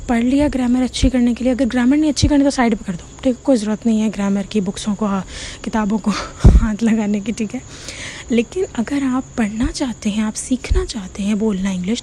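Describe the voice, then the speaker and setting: A woman's voice: a little high, warm tone, slight background noise. Chatty Pakistani woman giving English tips. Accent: Pakistani Urdu. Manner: chatty, often asks "theek hai?" to check in, mixes in English words. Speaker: online English teacher. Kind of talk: casual advice talk to viewers.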